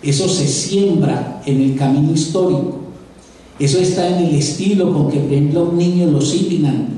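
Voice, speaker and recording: Paisa-accented male professor, mellow, rather high voice, lecturing live, heard from far off in an echoey auditorium. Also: moderate pace. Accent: Paisa (Antioquian Spanish). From Antioquia, Colombia. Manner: conversational, addresses audience directly, repeats words while thinking.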